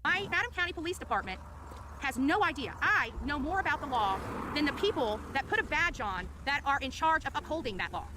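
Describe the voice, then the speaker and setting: A woman's voice: high voice, lively intonation, a little fast, background noise. A woman reporting on scene as a protester, indignant and emphatic, repeating her points for force. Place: outdoors at a roadside.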